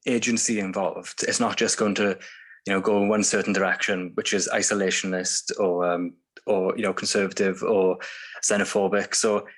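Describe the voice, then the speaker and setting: Male panelist, slightly low voice, argumentative, filler-heavy. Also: fairly bright tone. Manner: argumentative, many fillers like "you know". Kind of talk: panel discussion. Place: bookshop event room.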